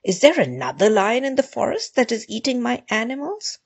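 A woman's voice: deep voice, sing-song, measured pace, creaky, breathy edge, dull audio, full character voice. A woman voicing a proud, hot-tempered, greedy lion king with an imperious, demanding manner in a storybook tale. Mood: angry.

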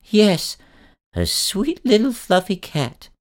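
A man voicing a lonely very old woman in a storybook reading, with a high, quavering, drawn-out delivery. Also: very animated, full character voice. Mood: wistful.

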